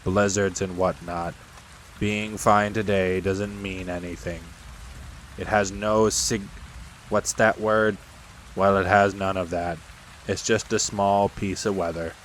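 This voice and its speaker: A man voicing a gloomy old donkey from a children's storybook, dreary, deadpan and dryly sarcastic. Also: deep voice, slow pace, slight background noise, voice pushed down, low-quality recording.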